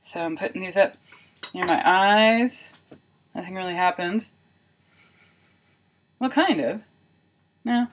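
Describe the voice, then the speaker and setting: A woman's voice: mid-range voice, slow pace, long pauses. A woman video blogger talking casually and thinking aloud, with wry, self-deprecating humour. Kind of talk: casual chatty vlog narration of a homemade experiment.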